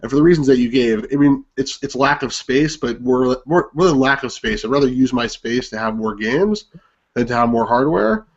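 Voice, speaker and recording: A man in a casual panel discussion on a video call, explaining his view in a measured, self-correcting way. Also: mid-range voice, lively intonation. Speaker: collector panelist. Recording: dull lo-fi video-call audio.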